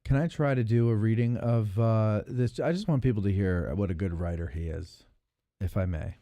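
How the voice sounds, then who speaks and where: Mellow, low-voiced man hosting. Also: moderate pace, no room sound. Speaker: podcast host. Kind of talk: casual chat.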